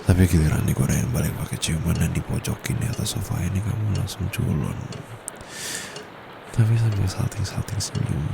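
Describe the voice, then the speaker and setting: A man playing a very deep, gravelly teasing tutor. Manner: soft-spoken, teasing, slow and close. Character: teasing young male private tutor, secretly dominant. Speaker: voice actor. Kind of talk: ASMR roleplay. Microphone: close condenser mic. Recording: steady background noise with traffic.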